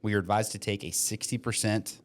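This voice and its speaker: Low-voiced joking man on a podcast. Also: fairly even intonation, fairly quick. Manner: joking asides, frequent hedges and fillers. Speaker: podcast co-host, mortgage lender. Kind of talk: casual chat, reading listener questions aloud.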